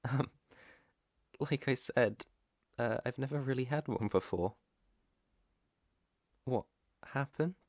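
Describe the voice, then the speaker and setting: A British man playing an earnest, protective alpha werewolf in an ASMR roleplay, with a hesitant, stammering, soft-hearted way of talking and a creaky voice. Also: mid-range voice, slow, long pauses, dry recording, studio quality, lightly acted. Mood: hesitant, uneasy. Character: protective young male alpha werewolf, earnest, shy outcast.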